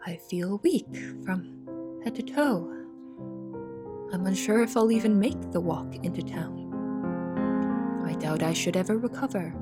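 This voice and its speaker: A woman's voice: medium pitch, lightly acted. Woman acting haunted young cook, music underneath. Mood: shaken, grieving. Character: young female cook, haunted, secretive, anxious. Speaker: narrator and lead of an audio drama. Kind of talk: scripted first-person narration, audio drama.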